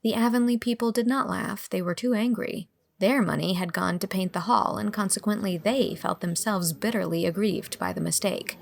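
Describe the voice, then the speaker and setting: Creaky-voiced woman narrating a storybook. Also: medium pitch, moderate pace, few pauses.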